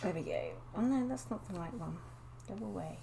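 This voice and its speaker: A woman from England, chatting casually to viewers on a live stream from her desk, croaky and sniffly with a cold, often laughing. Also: mid-range voice, lively intonation, a little fast, mellow, a little hiss or hum. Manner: chatty, giggly, greets viewers by name. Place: home desk indoors.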